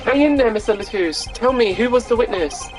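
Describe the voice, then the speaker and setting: Earnest young male lawyer done by a woman, anxious, concerned, lively pitch swings, game dialogue, music behind. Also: fairly quick, natural voice, poor audio. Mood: urgent, reassuring.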